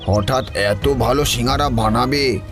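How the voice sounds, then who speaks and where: A jealous village shopkeeper acted by a man grumbles resentfully in a mid-pitched voice. It is cartoon dialogue with music clearly playing behind. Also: a little slow, lightly acted. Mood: jealous anger. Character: jealous, grumbling male rival samosa seller.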